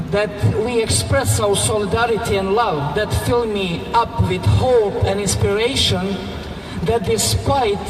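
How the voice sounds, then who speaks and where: A man from Bosnia with a Bosnian accent giving a slow, measured rally speech that rises to fervent, raised passages. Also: high-pitched. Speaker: speaker at a solidarity rally. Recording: echoey public-address sound from far off, music behind.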